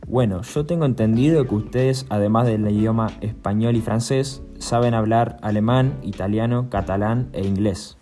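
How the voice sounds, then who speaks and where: A man hosting a podcast interview, asking measured, prepared questions. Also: lowish voice, dark tone. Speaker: podcast host. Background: music.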